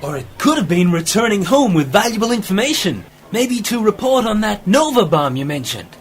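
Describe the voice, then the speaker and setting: A man acting as a curious, hesitant companion in a radio play, halting and full of questions. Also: high voice, big pitch swings, a little hiss or hum. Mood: puzzled. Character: curious, hesitant male companion, puzzled by science.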